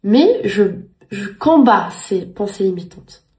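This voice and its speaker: A woman's voice: medium pitch, very animated, takes it slowly, darkish tone. A woman in her thirties, a native Spanish speaker, talking casually to camera at home and repeating words as she looks for the right phrasing. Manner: earnest, repeats words while searching for phrasing. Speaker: vlogger.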